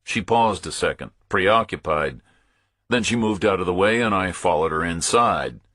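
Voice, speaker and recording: Low, bright-voiced man narrating a thriller. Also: animated, normal speed, slightly rough, no room sound, studio quality. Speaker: audiobook narrator. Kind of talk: scripted first-person thriller narration.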